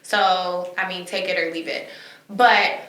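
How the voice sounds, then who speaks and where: A woman hosting a podcast, talking in an animated, emphatic way with quick asides. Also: on the low side. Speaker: podcast host. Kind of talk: casual chat. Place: recorded on location, indoors. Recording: echoey room.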